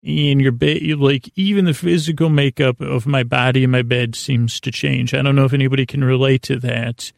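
A man hosting a sleep podcast, talking in a meandering, halting way from a small closet. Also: mid-range voice, fairly even intonation, creaky, dry recording, studio quality. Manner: meandering, halting, many restarts and fillers. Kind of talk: rambling bedtime monologue.

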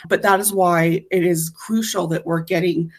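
A woman's voice: on the low side, steady tone, normal speed. A woman speaking as an interview guest, explaining volunteer work in an earnest, conversational way.

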